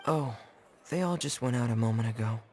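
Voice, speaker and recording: A man's voice: mid-range voice, brisk, a little hiss or hum, natural voice. A man voicing a shy, reticent teenage boy in a video game, hesitant and subdued.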